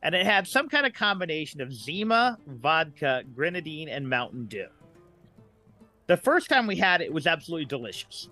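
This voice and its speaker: Guy guest on a podcast, bright clear-cut voice, casual rambly chat. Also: on the high side, very animated, brisk, faint music behind.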